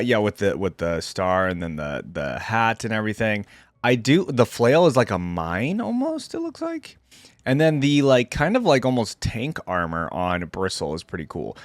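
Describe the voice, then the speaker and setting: A man's voice: lowish voice, no echo. A man giving casual reaction commentary as a video host, thinking aloud as he goes.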